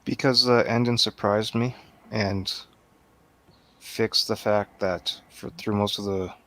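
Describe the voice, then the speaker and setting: A man speaks as a guest in a casual panel chat. His voice sits a little on the low side, and his delivery is plain and conversational. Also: steady tone, slow pace, fairly bright tone.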